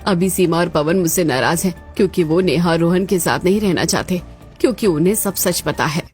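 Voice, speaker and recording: A woman plays a stern older mother-in-law in a cartoon family drama. Her voice sits low and her delivery is emphatic and scolding, with music playing behind her. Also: brisk, darkish tone, lightly acted. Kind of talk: Hindi cartoon moral-story voice acting.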